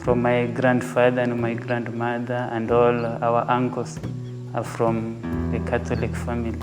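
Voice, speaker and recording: Flat-voiced young man. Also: medium pitch, measured pace. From Kenya. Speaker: seminarian. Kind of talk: personal vocation testimony. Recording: reverberant, with guitar music behind.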